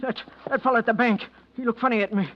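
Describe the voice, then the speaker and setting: Jittery miser in muffled old radio. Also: male voice, very high-pitched, steady tone, fast, very noisy. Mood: panicked, hushed. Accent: American. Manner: stammering, rough-spoken, ungrammatical. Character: miserly, uneducated, nervous working-class husband. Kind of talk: 1940s-50s American radio drama acting. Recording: dull lo-fi vintage radio recording.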